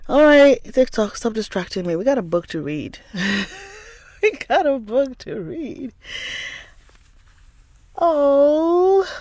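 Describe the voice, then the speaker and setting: Nasal woman with a cold, unhurried. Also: mid-range voice, a little mellow, dry recording. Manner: chatty, calls viewers darling. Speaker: livestream book reader. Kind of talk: chatting with viewers, then reading a novel aloud.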